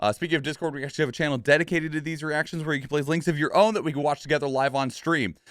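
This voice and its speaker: A man's voice: on the high side, steady tone, racing, no room sound, studio quality. A man giving casual live reaction commentary as a streamer, thinking aloud in a rambling, conversational way. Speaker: streamer and reaction video host.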